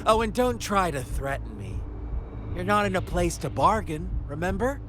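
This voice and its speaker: A man's voice: high voice, big pitch swings, normal speed, noisy background. An actor voicing a sly, mocking young male villain in a game cutscene, speaking in a theatrical, teasing, fable-telling manner. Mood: taunting. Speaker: game antagonist. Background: game soundtrack music.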